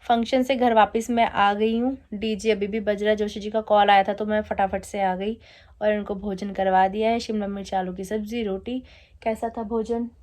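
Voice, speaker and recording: A woman's voice: medium pitch, level tone, normal speed, darkish tone. A woman in her thirties chatting casually in a home vlog, teasing and laughing as she talks to her viewers. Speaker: vlogger. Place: home at night, DJ music playing outside.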